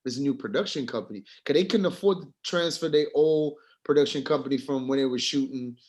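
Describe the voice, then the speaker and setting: A man's voice: mid-range voice, a little fast, a little mellow. A man in a casual group discussion, speaking informally and asking questions as a student of film.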